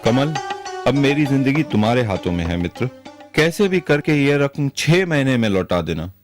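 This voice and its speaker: A man playing a kind, honest moneylender in a moral-story cartoon, speaking in a gentle, earnest way. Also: mid-range voice, big pitch swings, moderate pace, music in the background, lightly acted. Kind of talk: animated moral-story dubbing.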